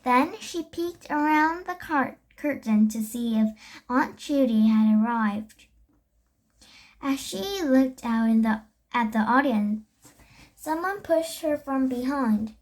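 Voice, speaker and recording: A young girl reading a children's story aloud, haltingly, with stumbles and self-corrections. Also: high-pitched, animated. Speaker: storybook reader.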